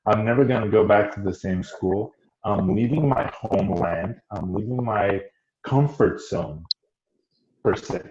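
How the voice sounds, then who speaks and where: A man's voice: fairly low pitch, a little mellow. Man hosting a podcast in a roomy, muffled recording. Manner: talks slowly, stacks up questions with restarts. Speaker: podcast host. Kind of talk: interview podcast. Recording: roomy, muffled audio with faint noise.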